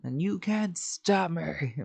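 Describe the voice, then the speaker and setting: A woman's voice: on the low side, expressive, slow pace, vocal fry, a little mellow, slightly rough, no echo. A woman giving casual, blunt, playful reactions with some swearing as she streams a game.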